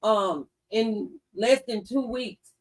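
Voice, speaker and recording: A woman's voice: on the high side, takes it slowly, far from the mic, low-quality recording. A woman hosting a talk show, speaking conversationally and earnestly and repeating phrases for emphasis.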